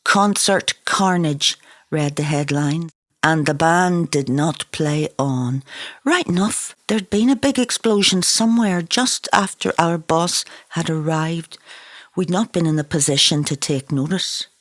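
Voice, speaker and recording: A woman's voice: sits low, measured pace, dry recording, clean studio sound. A woman reading their own literary novel aloud in a dramatic, incantatory manner. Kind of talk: literary audiobook narration.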